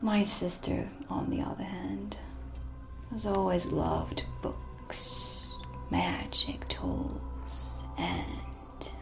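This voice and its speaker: A woman playing a proud, mysterious dark witch in a whispered cinematic ASMR roleplay, with a breathy, hushed, deliberate delivery. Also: medium pitch, very animated, heavily acted. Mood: reflective, assured. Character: self-assured dark witch, mysterious and proud, in her shop. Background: music, dark ambience.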